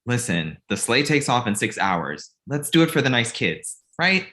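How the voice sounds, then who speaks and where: A man's voice: medium pitch, sing-song, moderate pace, bright, forceful tone. A man playing a pushy, earnest, easily flustered filmmaker in a comedic table read.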